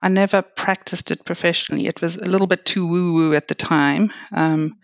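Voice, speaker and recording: A middle-aged woman from South Africa with a South African accent, a guest chatting reflectively with frequent fillers and soft laughs. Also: lowish voice, steady tone, a little fast, creaky, dry recording. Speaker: guest, reflexology and Reiki practitioner. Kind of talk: casual interview chat.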